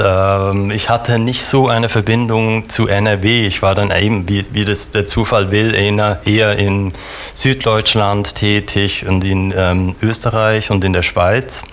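Theater director, middle-aged man, low-voiced and reflective, halting guest answers. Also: steady tone, a bit bright. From Switzerland. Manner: thoughtful, many hesitations and restarts. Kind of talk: conversational interview answers.

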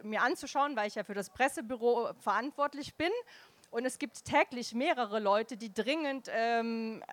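A woman who works as a press officer, explaining things in a measured way on a panel. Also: a little high, dry recording. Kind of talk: panel discussion.

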